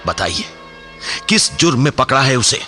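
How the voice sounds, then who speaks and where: Radio drama: an indignant Indian lawyer. Formal courtroom delivery, sharp, mid-pitched. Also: male voice, big pitch swings, normal speed. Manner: formal, emphatic, courtroom oratory. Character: indignant, dignified Indian lawyer confronting police. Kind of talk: radio play acting. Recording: music behind the voice.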